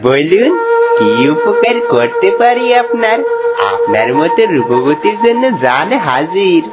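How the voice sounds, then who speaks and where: Cartoon youth: male actor as eager, flirtatious village gallant, obliging delivery over clear music. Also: fairly high pitch, very animated, slow. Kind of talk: dramatic cartoon story dialogue. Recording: music behind the voice.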